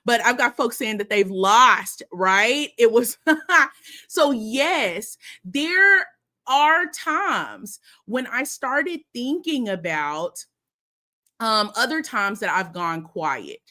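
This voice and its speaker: Animated, bright-voiced woman hosting a live show. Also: a little high, very slow. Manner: chatty and animated, addresses viewers as y'all and honey. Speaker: host of a live stream show. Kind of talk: casual live-stream talk to viewers.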